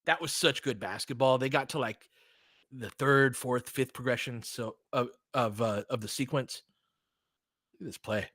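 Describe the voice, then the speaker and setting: Man, mid-pitched voice, casual partisan basketball commentary, slangy, clipped phrases, dry sound. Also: moderate pace, fairly bright tone, dry recording. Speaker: play-by-play host of a fan stream. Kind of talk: live basketball play-by-play and fan commentary.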